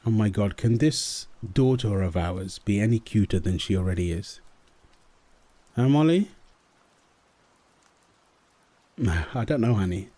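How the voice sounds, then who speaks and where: A man acting a gentle young father at his baby daughter's bedtime, soft, playful and coaxing in an intimate roleplay style. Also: on the low side, animated, moderate pace. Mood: tender, amused. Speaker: audio roleplay performer. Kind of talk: intimate comfort roleplay, storybook reading.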